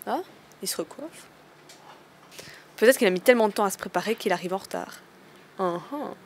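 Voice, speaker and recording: A woman's voice: mid-range voice, brisk, a little hiss or hum, natural voice. A young woman playing a sulky girl on a date, muttering sarcastic asides about him. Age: young adult.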